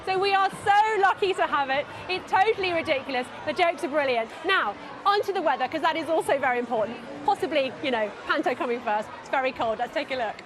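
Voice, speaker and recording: A British woman presenting in an animated, playful, chatty vlog style. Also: high voice, fast talker, hardly any pauses, music in the background, a crowd in the background.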